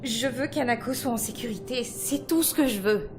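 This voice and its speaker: A woman's voice: on the high side, moderate pace, lightly acted. A woman playing a worried, caring mother in an audio drama, earnest and concerned. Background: loud music.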